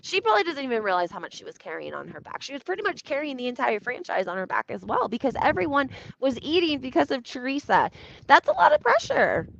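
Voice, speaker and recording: A woman with a fairly high voice talks through reality TV in a casual, opinionated way. She is conversational and leans on emphasis and rhetorical questions. The sound is a little muffled and lo-fi, with the echo of a room around her. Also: wildly swooping pitch, quick pace, vocal fry. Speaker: reality TV recap commentator. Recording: roomy echo, slightly dull lo-fi sound.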